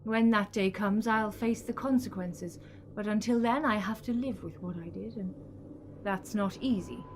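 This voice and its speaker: A woman acting in an audio drama as a haughty, guilt-laden renegade villain, measured and dry. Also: medium pitch, slight background noise, close to an everyday voice. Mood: remorseful, defiant.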